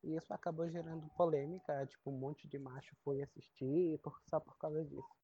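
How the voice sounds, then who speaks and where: A woman co-hosting a casual fan podcast, chatty and quick to laugh as she explains things. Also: deep voice, steady tone, mellow, breathy edge, no echo. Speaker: podcast co-host. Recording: dry muffled lo-fi audio.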